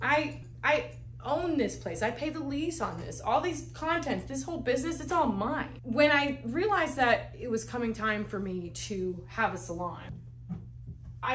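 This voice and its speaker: A woman's voice: on the high side, normal speed, fairly bright tone, a little hiss or hum. Frank, salty woman salon owner. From Las Vegas, United States. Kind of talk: candid personal storytelling. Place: her salon.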